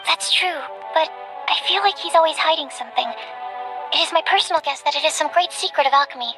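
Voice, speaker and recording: A woman's voice: high voice, fast talker, natural voice. A woman playing an earnest, bookish young female researcher in a video game, speaking politely and a little hesitantly. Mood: hesitant, wistful. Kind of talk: anime-style video game voice acting. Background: soft game music.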